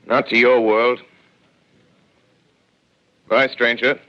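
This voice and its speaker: An actor playing a solitary male astronomer, narrating in a measured, solemn way in an old radio drama. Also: medium pitch, level tone. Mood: dismissive. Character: grave, solitary male astronomer recounting the aftermath. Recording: muffled old radio broadcast.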